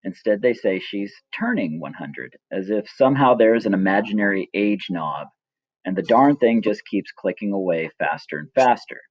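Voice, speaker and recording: A middle-aged man reading his own personal story as scripted narration, reflective, measured and gently wry. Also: fairly low pitch, expressive, breathy edge, no echo, muffled recording.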